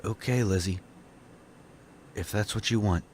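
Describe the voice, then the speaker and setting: A man's voice: fairly low pitch, measured pace, noisy background, natural voice. A man playing an earnest, dim-witted young country fellow, polite and pompous, in a comedic audio drama. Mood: dejected.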